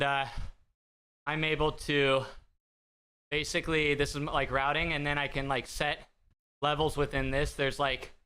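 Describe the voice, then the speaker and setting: A man hosting a live stream, chatting casually with viewers in a bright, higher-pitched voice, rambling and self-deprecating with lots of fillers. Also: clean tone. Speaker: livestream host. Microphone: desk streaming mic through an audio mixer.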